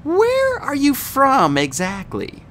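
A sharp teenage girl in a storybook, skeptical and quick to needle others, as a narrator puts her on. Her voice sits well above the reader's own and swings widely. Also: sits high, huge pitch swings, a little hiss or hum, pitched above the performer's own voice, full character voice. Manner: sarcastic, clipped.

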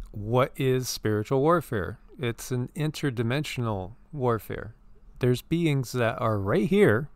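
A man co-hosting a spiritual commentary chat in an earnest, rambling manner, looping back and repeating himself as he goes. His voice is smooth and of middling pitch. The sound is dry and close, with no room echo. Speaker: co-host.

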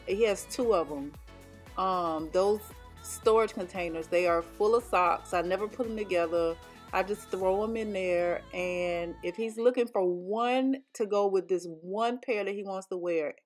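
Chatty woman narrating her home chores. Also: medium pitch. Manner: chatty, explaining with frequent ums. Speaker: home vlogger. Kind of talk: casual voice-over narration.

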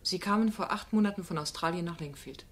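A woman's voice: lowish voice, quick pace, natural voice. An actress playing a young woman barrister in a radio crime drama, questioning firmly, sceptically and insistently. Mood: firm, probing. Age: young adult.

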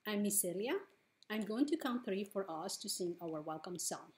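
A middle-aged woman hosting a bilingual storytime as a children's librarian, reading picture books aloud with deliberate phrasing for small children. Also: medium pitch, lively intonation. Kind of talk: bilingual picture-book read-aloud for preschoolers. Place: living room at home, indoors.

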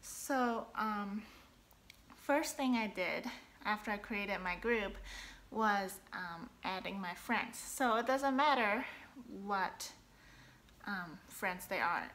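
A woman's voice: mid-range voice, slow, fairly bright tone. Young woman, from Hong Kong, casual tips video, chatty with fillers, close camera, indoors. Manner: chatty, many ums, talks with her hands. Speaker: online boutique seller. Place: bedroom, indoors, seated on a sofa.

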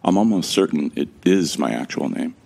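Very deep, gravelly man narrating wryly. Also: expressive, normal speed, a little mellow. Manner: wry, joking, casual with swearing. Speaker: narrator and protagonist. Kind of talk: first-person scripted drama narration.

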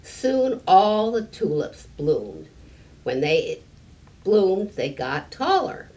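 An elderly woman reads a picture book aloud to children in a deliberate, slightly halting manner, adding small explanations. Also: mid-range voice, big pitch swings, darkish tone. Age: 70s or older. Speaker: story time reader. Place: library room with stone fireplace, indoors. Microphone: camera a few meters away. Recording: distant mic, room noise.

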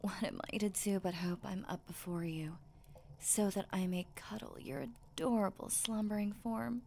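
A woman voicing an affectionate, teasing, possessive werewolf lover in intimate ASMR roleplay, soft and breathy, often slipping into a whisper. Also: medium pitch, steady tone, a little hiss or hum, close to an everyday voice. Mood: tender, drowsy. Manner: slow, sultry, playful murmuring.

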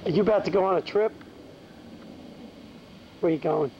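A man's voice: high voice, normal speed, creaky, a little mellow. A man casually asking questions from behind a home video camera. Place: outdoors at a woodland shelter. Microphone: behind the camcorder. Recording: dull, slightly noisy camcorder audio.

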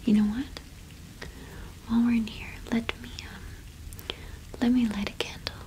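A woman in her twenties doing an ASMR roleplay as a cottage witch shopkeeper, speaking softly and unhurriedly with hesitant pauses, close to the microphone. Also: on the high side, flat delivery, noisy background. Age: 20s. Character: friendly solitary cottage witch keeping a small shop.

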